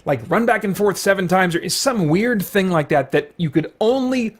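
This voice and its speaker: Middle aged guy, bit of a higher voice, just rambling casually as a video host. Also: animated, moderate pace. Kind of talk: casual rambling monologue about video games.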